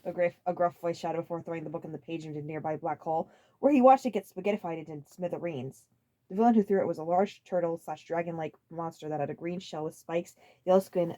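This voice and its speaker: A woman giving a dramatic scripted reading of a story as its narrator. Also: lowish voice. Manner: stumbles over written words. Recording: loud music under the voice.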